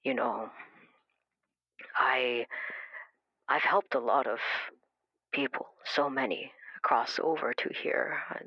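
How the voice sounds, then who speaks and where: A woman performs an ancient, wistful woman in an audio drama. She speaks very slowly in a creaky, breathy voice that often falls to a whisper, halting and gentle, with long pauses. The sound is dull and close, with no room to it. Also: very deep voice, no room sound, full character voice. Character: very old, weary, haunted woman, children's librarian. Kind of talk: improvised audio drama, caller to a radio show.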